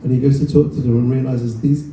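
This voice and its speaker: A man's voice: medium pitch, steady tone, quick pace, dark tone, recorded from a distance. A man hosting a live book discussion as a fellow author, chatting in an enthusiastic, joking way. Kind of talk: casual live book-event conversation. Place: indoor bookshop event, recorded from the audience. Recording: echoey room, recorded from afar, faint noise.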